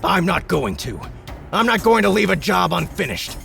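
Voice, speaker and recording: A man doing a crazed gravelly knight boss. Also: sits high, big pitch swings, voice pushed up, full character voice. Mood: determined. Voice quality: gravelly, shouting. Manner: menacing, drawn-out taunts. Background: background music.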